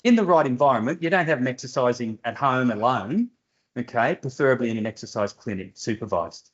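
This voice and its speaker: A man's voice: medium pitch, lively intonation, a little fast. Older aussie guy answering seminar questions, blunt and dry, muffled lecture audio. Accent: Australian. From Australia. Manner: plainspoken, direct, with dry humour. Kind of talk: seminar Q&A explanation. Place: university seminar room.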